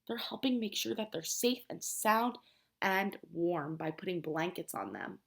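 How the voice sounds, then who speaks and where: A woman teacher reading a picture book aloud to young children, explaining simply and prompting them with questions in an expressive way. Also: on the low side, a little slow, creaky. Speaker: preschool teacher.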